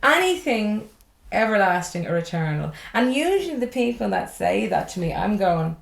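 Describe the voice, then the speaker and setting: A woman's voice: medium pitch, big pitch swings, normal speed, vocal fry, roomy sound. Irish woman comedian, rambling and swearing. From Ireland. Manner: swears freely, rambling and jokey. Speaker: stand-up comedian, podcast guest. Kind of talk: casual podcast chat.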